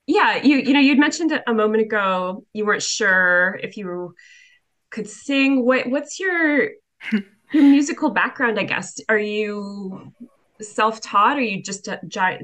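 A woman podcast host in a casual interview. Her voice is medium in pitch, and she speaks in an encouraging way, feeling her way through questions in halting phrases. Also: fairly bright tone.

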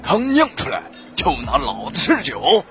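Man as a gruff tavern customer, wildly swinging pitch. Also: wildly swooping pitch, a little slow, loud music behind the voice. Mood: angry. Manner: gruff, blustering. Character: rough, loud, demanding male tavern customer. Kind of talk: Chinese audio drama acting.

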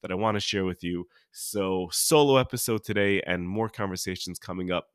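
An American man with a fairly low voice, slightly sniffly from a cold, recorded dry and close. He teaches like a podcast host talking to friends, informally, often stopping to rephrase. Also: clear, resonant tone, dry recording. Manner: informal, halting, rephrasing as he goes. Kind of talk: conversational solo podcast teaching.

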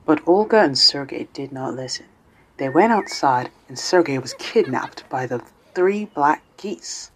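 A man's voice: fairly high pitch, expressive, measured pace, slight background noise, rough recording. A man narrating a children's radio play as a scripted storybook tale.

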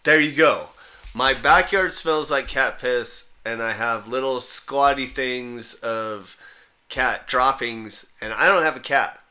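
A male podcast co-host with a bright, forward voice at a middling pitch. He rambles through anecdotes in a blunt, salty, joking way. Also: slow pace. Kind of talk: casual comic banter and rambling anecdotes.

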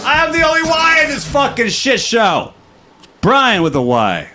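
A man co-hosting a comedy podcast, crude and sarcastic, swinging from tired mumbling to loud rants. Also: very high voice, huge pitch swings, measured pace, loud music behind the voice. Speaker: podcast co-host. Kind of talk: casual comedic chat.

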